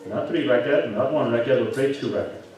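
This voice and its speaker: A man's voice: medium pitch, a little fast, a little mellow, slight background noise. Man preaching in a very echoey hall. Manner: conversational, questioning, frequent rhetorical questions and "right?" tags. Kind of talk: interactive sermon lecture to a congregation. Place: large echoing religious hall. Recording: very echoey hall, distant, audible congregation.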